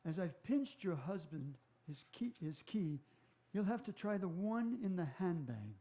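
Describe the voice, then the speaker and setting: An actor playing a shrewd police inspector in a radio drama, with an authoritative, deliberate delivery. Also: male voice, sits high, big pitch swings, dark tone, highs cut off, exaggerated voice. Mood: commanding, confident. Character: shrewd, commanding male police inspector on a stakeout. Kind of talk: stage radio drama acting.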